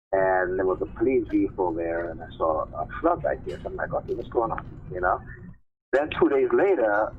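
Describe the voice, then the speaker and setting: Low-voiced man on a noisy phone line. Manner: insistent, rhetorical questions and repeated check tags like "right?" and "okay?". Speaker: live stream host. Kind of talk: casual live stream monologue. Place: remote call-in on a live stream. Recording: muffled phone-line audio with clear noise.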